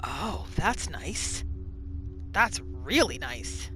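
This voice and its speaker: A woman hosting a gaming video, giving casual playthrough commentary in an expressive, exclamatory way. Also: deep voice, very slow. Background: game music.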